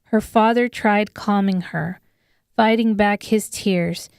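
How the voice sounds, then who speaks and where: A woman with a smooth, clear voice giving scripted dramatic narration of an audiobook. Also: mid-range voice, fairly even intonation, takes it slowly, no echo, studio quality.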